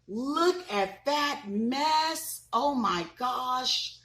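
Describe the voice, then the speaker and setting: A woman in her thirties reading a children's picture book aloud, pausing to ask the listeners questions. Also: high-pitched. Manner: expressive, asks listeners questions.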